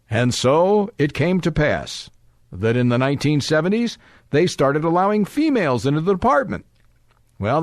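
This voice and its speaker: Animated man reading aloud, sardonic. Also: on the high side, dry recording, studio quality. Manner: emphatic, sardonic delivery. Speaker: radio talk show host. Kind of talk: reading a letter aloud with asides.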